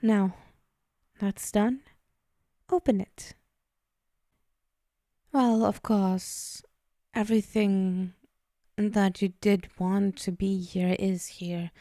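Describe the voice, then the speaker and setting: A woman playing a possessive, teasing female demon in an ASMR roleplay, menacing yet indulgent, hushed and speaking directly to the listener. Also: mid-range voice, steady tone, extremely slow, pauses often, no echo, studio quality. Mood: patient, indulgent.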